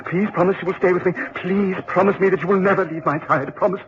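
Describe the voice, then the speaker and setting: A man's voice: sits high, steady tone, fast talker, close to an everyday voice. Male actor as frightened English aristocrat, hesitant stammer, anxious pleading, vintage radio drama, dull and noisy recording. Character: nervous, fearful English lord, desperate for company. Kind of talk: 1960s radio drama acting.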